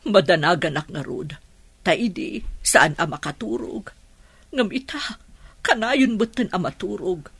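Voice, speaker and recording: A woman's voice: lowish voice, animated, unhurried, fairly bright tone, husky, no echo, heavily acted. A woman with an Ilocano accent playing a fretful, worried mother and madam in a Filipino radio drama.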